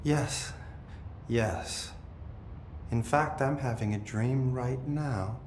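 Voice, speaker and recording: A man's voice: extremely slow, a little mellow. An actor playing a cryptic, brooding, unhinged young man held captive in a video game. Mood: eerie, detached. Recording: echoey room with low rumbling noise.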